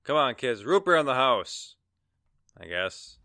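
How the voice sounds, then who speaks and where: A man acting a mouthy, sarcastic, excitable busybody in a pulp radio drama, needling in a colloquial working-class way. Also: medium pitch, measured pace, heavy background noise. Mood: reluctant.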